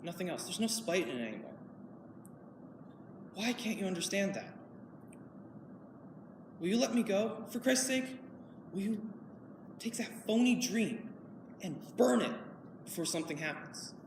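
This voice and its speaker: A woman performing a monologue as a bitter grown son who pleads with his father, swinging between loud and quiet. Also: mid-range voice, big pitch swings, measured pace, long pauses, background noise. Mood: anguished. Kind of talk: audition monologue. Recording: echoey hall, from far off.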